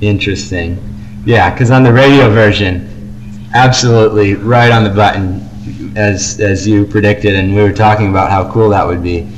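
A man with a fairly low voice chats as a podcast co-host. He is enthusiastic and effusive, in a casual reviewing style. There is faint noise behind him, as on an amateur recording. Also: fairly even intonation, moderate pace, poor audio.

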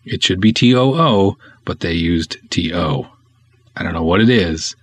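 A man's voice: low voice, lively intonation, unhurried, husky, dry recording. A man hosting a podcast in a casual, conversational style, talking and reading aloud with wry, snarky sarcasm. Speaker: podcast host and filmmaker.

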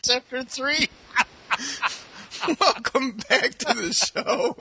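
A man's voice: very high voice, lively intonation, extremely slow, creaky, sharp tone, slightly rough, soft background music. A man co-hosting a podcast, chatting casually and telling jokes with a giggly manner. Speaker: podcast co-host.

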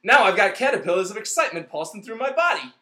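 A man playing a nervous, naive, excitable young husband in a 1930s-style radio comedy double act. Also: high voice, quick pace, pitched above the performer's own voice, heavily acted. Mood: excited, puzzled. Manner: naive, gushing. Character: nervous, excitable, dim young vaudeville husband in his twenties.

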